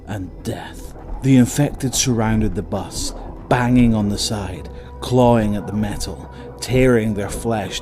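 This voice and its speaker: A male narrator with a fairly low voice reads a scripted horror drama. His delivery is measured and suspenseful, set over prominent music.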